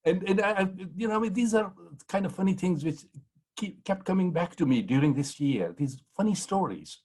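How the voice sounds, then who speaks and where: Husky-voiced man. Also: sits high, lively intonation, fairly quick, darkish tone. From Pakistan. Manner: rambling, many ums and restarts. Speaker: historian on a panel. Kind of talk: anecdotal storytelling in a panel talk.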